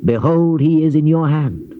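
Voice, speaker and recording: A man's voice: a little high, unhurried, natural voice. Man acting a grave uneasy king, muffled tape. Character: weak, fearful, secretive king of Judah. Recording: muffled, noisy old cassette tape.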